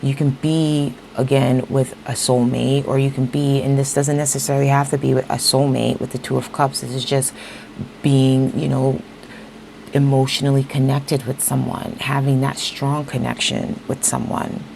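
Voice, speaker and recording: A woman giving a casual tarot reading straight to her viewers, a little hoarse as she is losing her voice, often checking in with "okay?". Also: a bass voice, level tone, moderate pace, a little mellow, a little hiss or hum, low-quality recording. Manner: talks straight to viewers, repeats "okay?" and "you know". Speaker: tarot reader.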